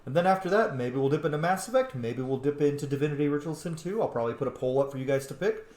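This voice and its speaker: A man's voice: on the high side, expressive, racing, darkish tone, echoey room. A man hosting a game playthrough, commenting casually and informally and reading dialogue choices aloud. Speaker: gaming video host, player.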